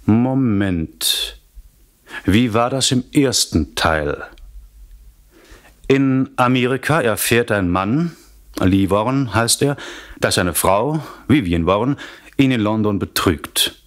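A man with a fairly low voice narrates a radio thriller. He is wry and deliberate, leaning on key words and pausing for dramatic effect. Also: expressive. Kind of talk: scripted storytelling recap of a radio play.

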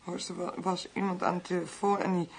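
A middle-aged lonely, hard-drinking mother with a husky, unclear voice. She speaks haltingly and slurs, repeating herself in a bitter, weary radio play manner. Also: fairly low pitch, level tone. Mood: anxious, weary. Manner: halting, repetitive, groaning and sighing. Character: bitter, lonely alcoholic mother, married to a policeman. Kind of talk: radio drama acting.

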